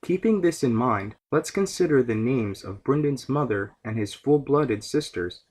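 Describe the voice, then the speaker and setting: A man's voice: medium pitch, expressive, normal speed, a little mellow, slightly rough. A man hosting a podcast, giving scripted, explanatory narration about fantasy lore. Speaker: podcast host.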